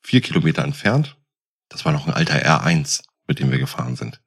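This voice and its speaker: Very low-voiced, husky man telling stories. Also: very animated, a little fast. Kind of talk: casual anecdote telling.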